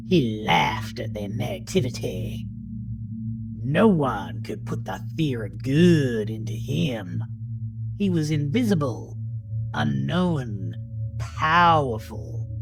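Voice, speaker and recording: A man reading a scripted horror story as narrator, in a brooding, deliberate, dramatic manner. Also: medium pitch, gravelly.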